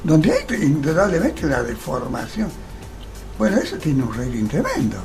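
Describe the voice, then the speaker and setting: An older man with a Rioplatense Argentine accent talks as a radio interview guest. His voice sits a bit high and has a lot of gravel in it. He argues emphatically, posing rhetorical questions, with faint music behind him. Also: huge pitch swings, moderate pace. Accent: Rioplatense Argentine Spanish. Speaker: interview guest, theatre actor. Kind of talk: radio interview, opinionated conversation.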